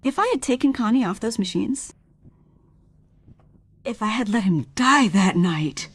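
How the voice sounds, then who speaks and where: Radio crime drama, wronged wife: insistent, bitter accuser, husky voice, very lively intonation. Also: female voice, on the high side, a little slow. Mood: accusing, anguished. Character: wronged, accusing wife confronting a treacherous family friend. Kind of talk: crime radio play acting.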